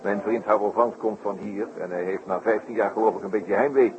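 Actor as genial detective on a noisy old recording. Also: male voice, fairly low pitch, steady tone, brisk, lightly acted. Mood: courteous, probing. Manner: jovial, courteous, hesitating with ehs and chuckles. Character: genial French detective on holiday, older friend. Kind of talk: 1960s Dutch radio play acting. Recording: noisy, muffled old radio broadcast recording.

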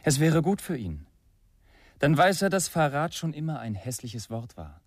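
An actor playing a condescending far-right extremist in a radio crime drama, controlled and quietly threatening. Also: male voice, a little high, very animated, normal speed, no echo. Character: menacing, self-assured male far-right extremist, condescending.

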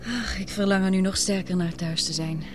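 A woman's voice: mid-range voice, fairly even intonation, fairly quick, close to an everyday voice. Actress as anxious, tender young woman, noisy recording. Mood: wistful. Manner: emotional, pleading. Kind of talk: radio drama acting in Dutch.

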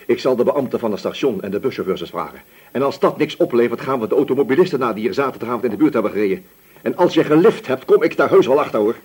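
An actor playing a male police inspector in a radio drama, questioning patiently but insistently with a slightly husky voice and deliberate pauses. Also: mid-range voice, lively intonation, darkish tone. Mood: stern, insistent. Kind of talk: Dutch radio drama interrogation acting.

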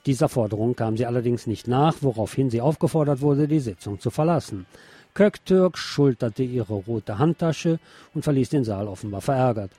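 Husky man reading the radio news. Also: mid-range voice, lively intonation, normal speed, warm tone, no echo. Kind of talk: reading news reports aloud with brief asides.

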